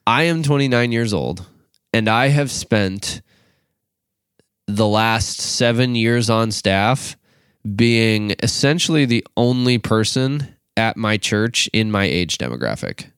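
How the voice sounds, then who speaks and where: A man's voice: on the low side, animated, slow pace, fairly bright tone, smooth, no echo, studio quality. A man in his late twenties, a youth pastor co-hosting a podcast, talking casually with many fillers and restarts. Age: 20s.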